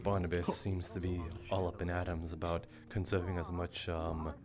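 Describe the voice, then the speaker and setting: A man playing a composed, courteous tavern bard in improvised fantasy roleplay, with measured, formal phrasing. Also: sits low, flat delivery, close to an everyday voice. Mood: politely amused. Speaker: roleplay streamer. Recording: loud music behind the voice.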